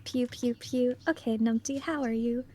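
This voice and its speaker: A woman's voice: a little high, fairly even intonation, moderate pace, darkish tone, slight background noise. A woman playing in a tabletop game stream, introducing herself in a bubbly, playful way. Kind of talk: casual livestream chat.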